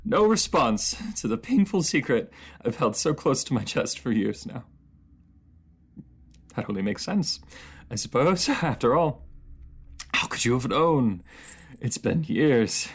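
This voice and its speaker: A man's voice: mid-range voice, very animated, a little slow, long pauses, husky, exaggerated voice. A man playing an anguished, proud enemy ruler in a fantasy audio roleplay, speaking in formal, theatrical phrases that halt with emotion. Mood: wounded, pleading. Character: captive enemy ruler, anguished, proud, lovesick, under truth potion.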